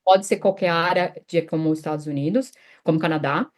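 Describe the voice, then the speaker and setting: A woman's voice: sits low, fast. A woman from Brazil in her thirties, a guest in a casual interview, talking chattily with many restarts and fillers. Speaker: guest, immigration consultant.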